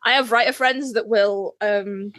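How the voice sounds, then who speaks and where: A woman co-hosting a podcast, chatting casually in an animated, emphatic way. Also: mid-range voice, unhurried, dry recording, clean studio sound. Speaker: podcast co-host. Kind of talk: casual fan chat.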